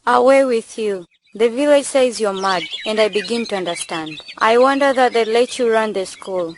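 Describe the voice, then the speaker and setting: A woman's voice: a little high, moderate pace, a little hiss or hum, lightly acted. A young woman playing a proud, defiant village beauty in an amateur stage drama. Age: young adult.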